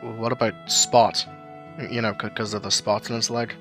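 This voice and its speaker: Man playing a blunt, bright-voiced know-it-all guy. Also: lowish voice, little pitch movement, moderate pace, soft background music. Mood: suggesting. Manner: blunt, hesitant while recalling facts. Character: blunt, knowledgeable, cautious young guy.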